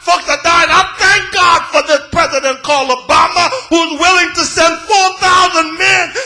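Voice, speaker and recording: High, forceful male preacher. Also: steady tone, moderate pace, creaky. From West Africa. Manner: dramatic, swinging from hushed grave passages to shouted repetition. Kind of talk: impassioned sermon.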